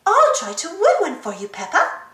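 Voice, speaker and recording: A woman voicing a confident, encouraging mother pig in a storybook, gentle but determined. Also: extremely high pitch, wildly swooping pitch, normal speed, echoey room, voice pushed up, exaggerated voice.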